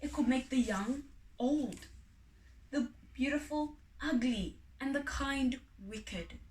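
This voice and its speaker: A woman narrating a children's folk tale expressively, with deliberate, dramatic phrasing and pauses. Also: sits high, darkish tone. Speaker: storybook reader. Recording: echoey room, distant microphone.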